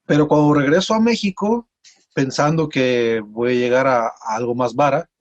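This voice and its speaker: A man from Mexico with a Mexican accent, telling stories casually as an interview guest in a rambling, halting way with repeated words. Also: medium pitch, highs cut off. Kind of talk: casual conversational storytelling.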